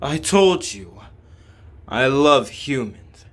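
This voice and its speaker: A man voicing a calm, devoted surgeon in a game, with a deliberate, explanatory manner. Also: mid-range voice, exaggerated voice. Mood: calm, resolute. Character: calm male surgeon devoted to protecting humans. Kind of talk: scripted game dialogue reading.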